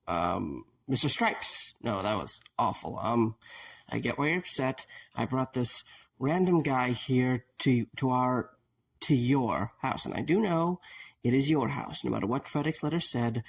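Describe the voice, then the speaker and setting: A man acts out a sickly but friendly young man in an audio drama. His mid-pitched voice crackles with fry, and he speaks casually, hesitating as he reassures. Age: young adult.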